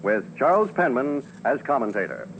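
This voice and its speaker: A man's voice: mid-range voice, big pitch swings, normal speed, over loud music. A man announcing a network radio program in a formal, declamatory mid-century style. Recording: dull vintage radio with faint noise.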